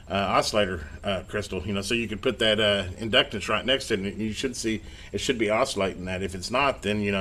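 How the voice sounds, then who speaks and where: A middle-aged man with a fairly low voice talks casually at a repair bench. He rambles in a folksy, self-deprecating way. There is noticeable noise in the recording. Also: fairly bright tone. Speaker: electronics hobbyist and repair video host. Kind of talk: casual workbench chat while repairing. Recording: clear background noise.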